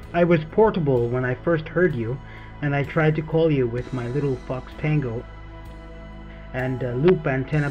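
Ham operator on air, slightly high voice, effusive and friendly, spelling in phonetic alphabet; muffled lo-fi sound with faint music. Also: male voice, a little mellow. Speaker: ham radio operator calling in. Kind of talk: ham radio contact over the air. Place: home radio station.

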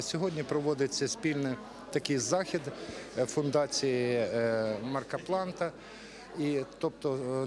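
A man in his fifties giving a halting, hesitant news interview into a handheld microphone. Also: medium pitch. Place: exhibition hall. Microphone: handheld reporter microphone. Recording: busy crowd behind.

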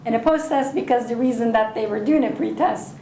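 A young woman presenter explains her methods patiently and clearly. Her voice sits at a middle pitch. The sound comes from a far camera in an echoey seminar room. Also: a little fast, dark tone, slight background noise. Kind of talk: academic Q&A answer.

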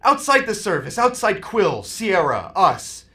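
A man's voice: high-pitched, wildly swooping pitch, measured pace, bright, forceful tone, exaggerated voice. An actor playing a resigned, earnest man on the run, pleading with his lover in an audio drama. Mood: earnest, confessional.

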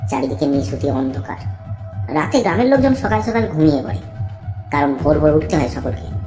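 A woman narrating a scripted first-person horror story in a dramatic, deliberate storytelling manner. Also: low voice, very animated, dark tone, reverberant, faint music behind.

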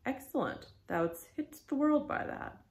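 A woman's voice: sits high, lively intonation, a little slow, voice pushed up. A commanding old whaling captain, acted out by a woman, brooding and half mad, declaiming in archaic speech. The sound is echoey, in a bare room.

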